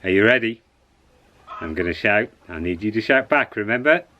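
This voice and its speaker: A man telling a story to children in an expressive, encouraging way, repeating phrases for dramatic suspense. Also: on the low side, moderate pace, highs cut off.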